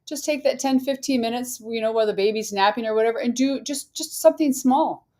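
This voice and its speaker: A woman's voice: fairly high pitch, fast talker, mellow. A woman in her sixties from Arizona, a fitness trainer guest answering interview questions in an upbeat way and laughing easily. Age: 60s.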